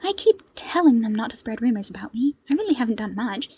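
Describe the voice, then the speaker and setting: A woman voicing a cheerful, modest young hero strategist in an audio story, with an earnest, gently exasperated manner. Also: fairly high pitch, sing-song, fast, a little hiss or hum. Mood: amused, exasperated.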